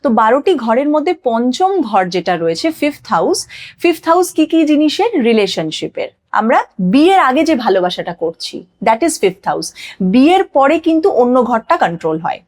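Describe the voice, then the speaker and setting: High-pitched woman explaining emphatically. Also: very animated, normal speed, a little mellow. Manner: didactic, emphatic repetition, mixes in English phrases. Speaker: astrologer guest on a podcast. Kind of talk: explanatory podcast interview talk.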